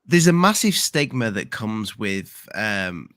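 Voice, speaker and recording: Slow-talking host, bright voice. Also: male voice, fairly low pitch, sing-song. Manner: informal, slangy. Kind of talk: casual interview chat.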